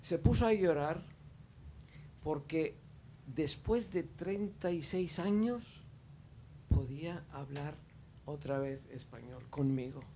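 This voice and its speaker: A man's voice: a little high, expressive, takes it slowly, pauses often, dark tone, a little hiss or hum. A man speaking off the cuff at a panel discussion, hesitant, with many fillers and restarts. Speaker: audience member at a panel. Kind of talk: spontaneous spoken remarks at a panel discussion.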